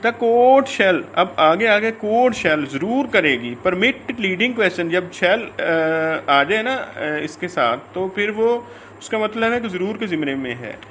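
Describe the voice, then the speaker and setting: A Pakistani man with a high voice lectures informally, in the manner of a law teacher. He goes haltingly, repeating phrases and switching between reading formal text and explaining it. Faint noise sits behind the homemade audio recording. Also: animated, fairly bright tone. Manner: halting, repeats phrases, stretches out English words when reading.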